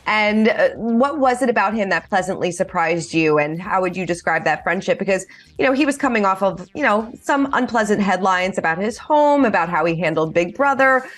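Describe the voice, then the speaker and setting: A woman's voice: mid-range voice, animated, brisk. A woman interviewer asking questions in a casual, conversational way with frequent "you know". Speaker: entertainment interviewer.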